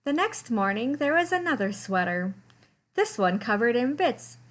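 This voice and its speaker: A woman reading a children's story aloud in an animated, expressive way, with dramatic emphasis on descriptive words. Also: a little high, normal speed. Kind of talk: expressive picture-book read-aloud.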